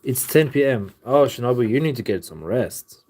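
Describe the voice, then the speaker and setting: A man's voice: mid-range voice, animated, normal speed, darkish tone. A young man, chatting loosely and profanely with viewers on a livestream from his home art studio. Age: young adult. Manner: rambling, loose and profane, with many "like" fillers. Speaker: livestreamer and artist. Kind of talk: casual livestream chat answering viewers.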